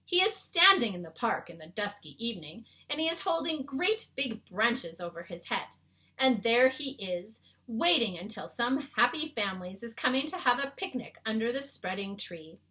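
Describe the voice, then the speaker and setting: A woman's voice: a little high, big pitch swings, normal speed, bright, forceful tone. A woman voicing a big, friendly male giant in a children's storybook, earnest and emphatic, with muddled homespun grammar. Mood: eager explaining. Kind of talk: children's storybook read-aloud.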